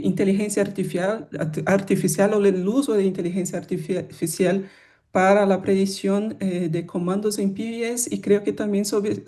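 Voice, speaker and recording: A woman opens a colloquium with a formal introduction of the guest speaker. Her voice is mellow and warm, at an ordinary pitch for a woman. She pauses now and then with filler sounds. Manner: slightly halting, with fillers. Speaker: colloquium moderator.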